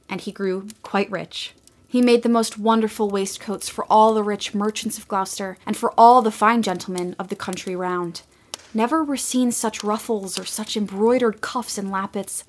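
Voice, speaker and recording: A woman giving scripted storybook narration for a radio play, gentle and expressive, sometimes dropping to a hush. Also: mid-range voice.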